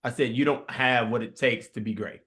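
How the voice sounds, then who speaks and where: A forty-year-old man speaking as an interview guest, slow, earnest and reflective. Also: medium pitch, steady tone, fairly bright tone. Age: 40s. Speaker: guest musician. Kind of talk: casual interview chat.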